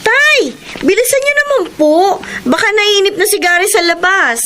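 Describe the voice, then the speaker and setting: Affectionate young daughter for Filipino radio serial: high voice, animated swings, girlish manner. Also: normal speed, noisy background. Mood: cheerful. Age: young adult. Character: Irma, caring young daughter, shyly in love. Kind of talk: Filipino radio drama acting.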